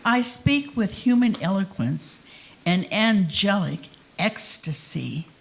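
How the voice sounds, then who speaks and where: A woman reads scripture theatrically in a medium-pitched voice. She is lively in intonation and slow in pace, stretching important words. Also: gravelly, breathy edge, poor audio. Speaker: reader in a group dramatic reading.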